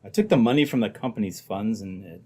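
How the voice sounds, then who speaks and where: A man's voice: lowish voice, lively intonation, quick pace, a little mellow. A man playing a scheming, nervous uncle in an old-time radio drama, hesitant and placating as he reasons. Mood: grim, desperate. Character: scheming, nervous embezzler uncle, cornered but calculating.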